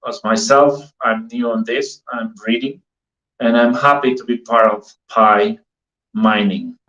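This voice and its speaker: Dull, echoey audio carries a man offering an informal opinion. He speaks slowly, pausing between phrases as he weighs things up for a community discussion. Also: medium pitch, steady tone, fairly bright tone. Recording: roomy echo, dull lo-fi audio.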